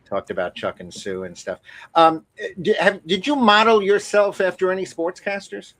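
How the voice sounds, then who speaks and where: An older American man hosting a podcast, interviewing conversationally and piecing his questions together haltingly. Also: high-pitched, animated, fairly bright tone. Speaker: podcast host.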